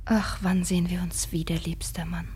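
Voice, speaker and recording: An actress playing a passionate, devoted and defiant young princess in a classic radio play, declaiming with intensity. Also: female voice, fairly low pitch, flat delivery, normal speed, heavy background noise, close to an everyday voice. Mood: tender sorrow. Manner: declamatory, intense, dropping into breathy whispers.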